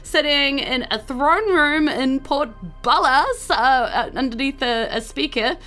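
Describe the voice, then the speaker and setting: A woman with a New Zealand accent hosting and narrating a tabletop game in an informal, animated storytelling manner. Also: sits high, measured pace, faint music behind. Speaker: game master and host.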